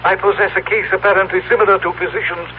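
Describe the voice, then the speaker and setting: An actor playing a solemn, proud telepathic being from the future, speaking in formal, precise, stilted phrases as in old radio drama. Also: male voice, high-pitched, little pitch movement, very fast, close to an everyday voice. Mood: stern, proud. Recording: tinny, noisy old radio broadcast recording.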